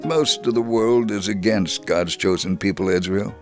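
A man's voice: fairly low pitch, moderate pace. A man delivering a scripted dramatic reading for radio. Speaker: dramatic reader. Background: faint music.

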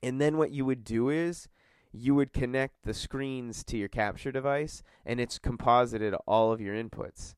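A man's voice: mid-range voice, moderate pace, hardly any pauses, smooth, dry recording, studio quality. A man hosting a podcast, congested from a cold, chatting casually in a rambling, filler-heavy way. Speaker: podcast host.

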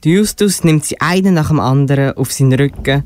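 A man's voice: on the high side, fairly quick, a little mellow. A young-sounding male narrator with a Swiss German accent, reading a scripted children's story. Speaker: narrator and presenter of a school radio play. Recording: slightly noisy amateur recording with clicks.

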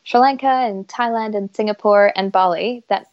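A woman's voice: medium pitch, moderate pace. A woman in her thirties, a yacht chief stewardess, speaking in a casual interview with a mixed Canadian and British accent, enthusiastic and full of fillers. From Isle of Man, raised in Canada. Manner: chatty, enthusiastic, many fillers. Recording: slightly dull lo-fi audio.

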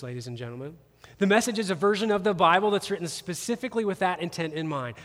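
Lively joking pastor in a big hall. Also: male voice, sits high. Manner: animated, joking, conversational. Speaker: campus pastor. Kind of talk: sermon. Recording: long reverb of a large hall.

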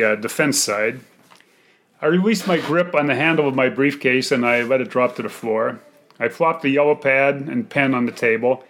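A man with a mid-pitched voice reads a first-person literary story. He is measured and articulate, delivering it as the writer of the piece. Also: a bit bright. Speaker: writer reading own short story.

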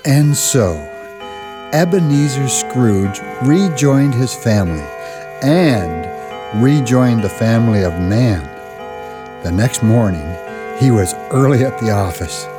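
An actor narrating a Christmas radio drama as a storyteller, measured and expressive. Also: male voice, medium pitch, crowd noise. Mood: measured storytelling. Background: music.

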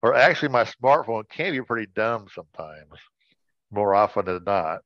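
A man's voice: fairly low pitch, lively intonation, moderate pace, no echo, highs cut off. A man chatting casually as a co-host, bantering in a playful, joking way.

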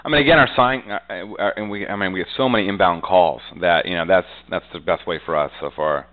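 A man's voice: deep voice, quick pace, fairly bright tone, low-quality recording. A man giving casual business interview answers as a real estate agent, conversational and peppering his talk with "you know".

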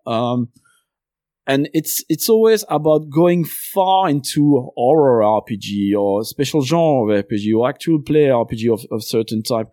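Mellow-voiced Belgian French-accented man. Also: medium pitch, measured pace, no echo, clean studio sound. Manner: talks at length, many uh fillers. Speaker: podcast host and game designer guest. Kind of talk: casual interview chat.

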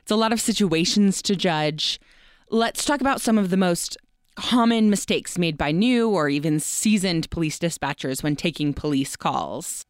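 Woman podcast host, lowish clear smooth voice, real dry close sound, chatty interview style. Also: lively intonation, normal speed, no echo.

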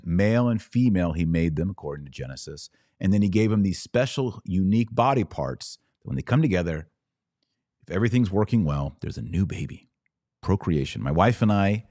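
A man's voice: on the low side, a little mellow, no room sound, studio quality. A middle-aged man hosting a podcast, delivering religious commentary in an emphatic, preachy yet conversational way. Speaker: podcast host.

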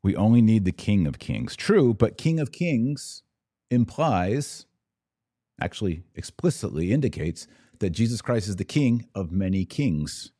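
A man's voice: lowish voice, lively intonation, measured pace, mellow, no room sound, studio quality. An American man hosting a live commentary podcast, opinionated and conversational, repeating himself for emphasis as he answers viewer comments.

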